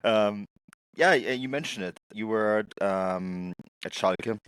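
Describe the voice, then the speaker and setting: A man's voice: fairly low pitch, slow pace, a bit bright, clean tone, dry recording, low-quality recording. A man hosting a football podcast, chatting casually with guests, laughing often and using lots of filler words. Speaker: podcast host.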